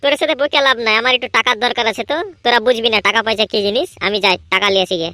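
Woman doing a high, cocky cartoon village lad. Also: racing, bright, forceful tone, dry recording. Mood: boastful. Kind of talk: Bengali comedy cartoon voice acting.

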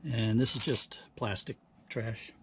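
A man's voice: medium pitch, steady tone. Man thinking aloud, noisy background. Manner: halting, thinking aloud while working. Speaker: host of a scrapping how-to channel. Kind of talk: casual hands-on demonstration commentary. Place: at a workbench. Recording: clear background noise, handling clicks.

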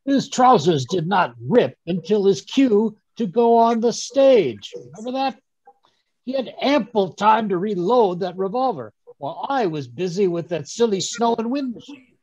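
Genial male doctor-narrator, acted by a man for radio drama: husky, very lively intonation, reminiscing. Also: high-pitched, a little slow, dry recording, heavily acted. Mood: amused reminiscing. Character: genial male doctor recounting his detective friend's case. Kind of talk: old-time radio drama acting. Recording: slightly phone-like, dull video-call audio.

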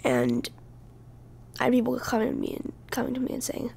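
A woman hosting her own podcast, speaking casually while hoarse from losing her voice, halting and often dropping to a breathy whisper. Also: on the high side, big pitch swings, darkish tone. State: hoarse, lost voice. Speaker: podcast host. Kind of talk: casual solo podcast talk.

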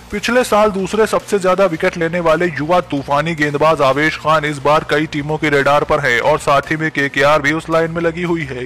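Male news narrator, scripted read, slows on each name and number, light music under. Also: fairly high pitch, fairly bright tone.

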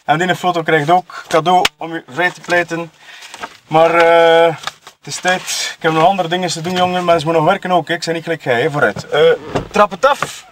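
An actor with a Flemish accent playing a sleazy, cocky photographer and dealer who taunts in colloquial, smug speech. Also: male voice, a little high, steady tone, brisk, background noise, natural voice. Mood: cocky, dismissive. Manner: colloquial, taunting, tag questions, chuckling self-justification. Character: sleazy, cocky male photographer and drug dealer, smugly mocking. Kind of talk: audio murder-mystery game acting.

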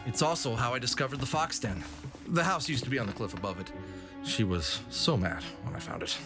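A man's voice: medium pitch, animated, a little fast, lightly acted. A man playing an adventurous, stubborn and sentimental fox hero in an audio drama. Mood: reflective. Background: dramatic background music.

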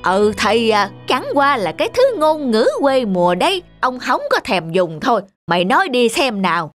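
A man's voice: very high-pitched, sing-song, moderate pace, fairly bright tone, full character voice. A fat, greedy village rich man in a cartoon folk tale. His delivery is pompous and scornful, swooping widely as he blusters and schemes. Music plays behind him. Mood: pompous, irritated. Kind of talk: cartoon fairy-tale dubbing.

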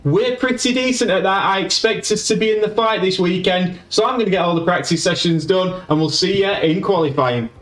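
A man's voice: high-pitched, a little fast, hardly any pauses, a bit bright, reverberant, recorded from a distance. A man with a Yorkshire accent, a gaming YouTuber giving chatty play-by-play of a racing management game. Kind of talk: game commentary.

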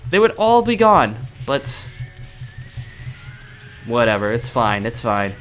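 A young man improvising in-character gameplay roleplay in a cocky, dramatic, excitable manner. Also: medium pitch, slow pace, faint music behind. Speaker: gaming roleplay video creator.

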